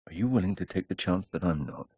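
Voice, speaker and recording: A man's voice: deep voice, animated, quick pace, highs cut off, very noisy. A man playing a steely, defiant secret agent, terse and cool, in a video game cutscene. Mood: cool defiance.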